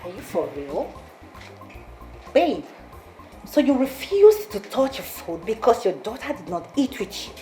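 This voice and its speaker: A bossy, self-serving girlfriend in a film drama. Her delivery is highly animated, leaping up and down as she scolds and lectures with pointed questions. Music runs underneath the dialogue. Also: unhurried, pauses often. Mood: incredulous, petulant. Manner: scolding, theatrical, rhetorical questions. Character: domineering, sharp-tongued, self-serving girlfriend of a widowed-style father. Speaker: actress.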